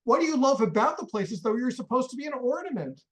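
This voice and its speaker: An actor playing an impatient older American millionaire who barks orders and scolds. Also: male voice, very high voice, big pitch swings. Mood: scolding. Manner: barking, scolding, rapid-fire orders. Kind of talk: radio play acting.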